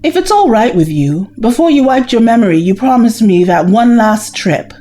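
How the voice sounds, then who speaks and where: A woman playing a loyal, level-headed companion in an audio drama, gentle and matter-of-fact. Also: a little high, sing-song, normal speed, slight background noise.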